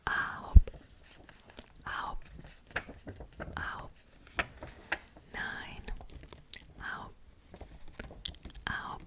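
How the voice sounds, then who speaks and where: A young woman with a Czech accent, whispering a slow, repetitive ASMR language lesson. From Czech Republic. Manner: soft whisper, slow and repetitive drilling of words. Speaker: ASMR creator teaching Korean.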